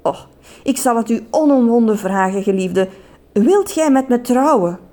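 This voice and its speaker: A pompous, flowery suitor voiced by a woman who stumbles over his own rhymes as he declares his love. The delivery stays fairly high and lively. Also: a little slow. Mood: tender. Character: flowery, courtly man in love, mustached suitor.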